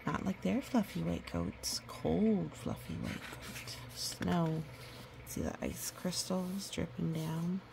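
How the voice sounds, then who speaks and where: A woman reading a picture book aloud to a young child, animated and repeating words for emphasis, with explanatory asides. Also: lowish voice, takes it slowly, creaky, background noise.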